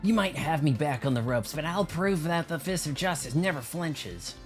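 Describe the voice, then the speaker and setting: A man's voice: a little high, expressive, normal speed, soft background music, voice pushed up. A man voicing an earnest, proud young dojo fighter as he reads video game dialogue aloud. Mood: determined. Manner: determined, boastful.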